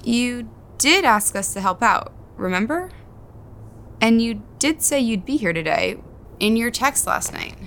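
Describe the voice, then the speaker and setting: A woman's voice: fairly high pitch, animated, unhurried, fairly bright tone. Matter-of-fact woman, faint outdoor noise. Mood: guarded, matter-of-fact. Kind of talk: naturalistic audio drama dialogue.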